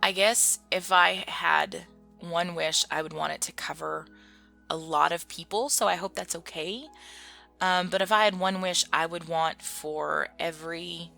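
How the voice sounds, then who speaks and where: An American woman with a low, gravelly voice talks informally to her online followers. She hesitates as she goes and is a little self-effacing, with music playing behind her. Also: bright, forceful tone. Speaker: YouTube channel host. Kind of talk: casual chatty Q&A to followers. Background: background music.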